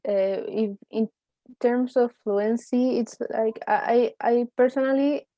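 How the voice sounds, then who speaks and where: A woman's voice: fairly high pitch, steady tone, measured pace, mellow, no echo, lo-fi sound. A young woman with a Spanish accent, a university student speaking hesitantly in a class discussion. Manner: hesitant, many restarts and fillers.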